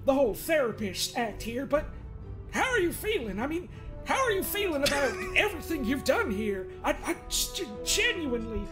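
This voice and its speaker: Smug middle-aged cosmic therapist man, played by a woman, mock-stern and folksy, very animated pitch, comedic game dub over prominent music. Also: normal speed. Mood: amused, scolding. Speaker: fan dub voice performer. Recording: music under the voice.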